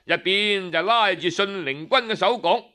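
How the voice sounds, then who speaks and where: A Cantonese man narrates in traditional storytelling style. His voice is high and forceful, and he takes his time, shaping each phrase with theatrical emphasis. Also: a little slow.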